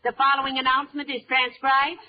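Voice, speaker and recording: An actress playing a bossy but fond, sweet-talking housewife in 1940s radio comedy style, scolding and coaxing. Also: female voice, high-pitched, flat delivery, brisk, close to an everyday voice. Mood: curious, flustered. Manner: scolding then coaxing, folksy exclamations. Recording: dull, noisy 1940s radio recording.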